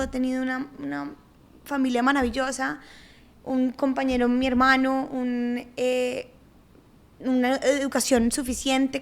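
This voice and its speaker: A young Colombian woman with a Colombian accent, speaking as an interview guest in an emotive, heartfelt, conversational way. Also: high-pitched. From Colombia, Bogotá and Cali. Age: young adult. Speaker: podcast guest.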